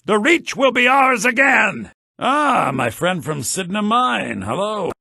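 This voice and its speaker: Raspy, vengeful rebel leader. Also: male voice, high voice, very animated, slow pace, no room sound, clean studio sound, heavily acted. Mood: triumphant. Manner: menacing, declamatory, swinging to shouts. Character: bitter, vengeful male rebel leader held in a prison mine. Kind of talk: fantasy video game acting.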